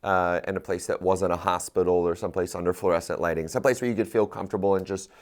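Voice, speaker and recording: A man's voice: low-pitched, steady tone, fast. A man as a guest in a casual interview, speaking reflectively and candidly, laughing at himself now and then.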